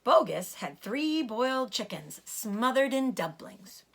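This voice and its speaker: A woman teacher reading a children's storybook aloud in an expressive way. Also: a little high, slow pace.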